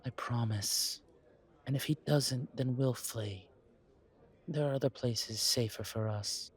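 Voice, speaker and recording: Man playing a slow, breathy, courtly old vampire. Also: mid-range voice. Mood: reassuring. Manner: measured, formal, politely dry. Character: courtly, wary centuries-old male vampire. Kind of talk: roleplay audio drama.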